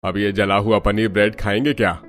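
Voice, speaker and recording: A frank middle-aged father-in-law, played by a man in a cartoon story. His voice is fairly low and authoritative, with soft music behind. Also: level tone, fairly quick, natural voice. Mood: displeased. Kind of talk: Hindi cartoon story dubbing.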